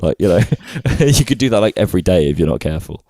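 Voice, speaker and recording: A man hosting a podcast, chatty and quick to laugh in casual conversation. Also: sits low, very animated, warm tone, no room sound, clean studio sound. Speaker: podcast host.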